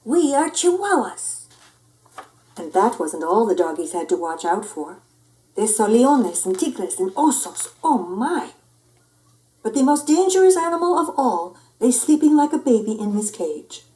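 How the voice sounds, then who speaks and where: A woman reading a children's picture book aloud in an expressive, theatrical, playful way. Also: mid-range voice, normal speed, poor audio. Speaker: storyteller.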